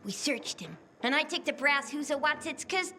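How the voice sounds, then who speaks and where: A woman's voice: high voice, lively intonation, moderate pace, bright, forceful tone. A woman voicing a cheeky, streetwise girl of about ten in a video game, brash and slangy. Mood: cocky. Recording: faint music behind the voice.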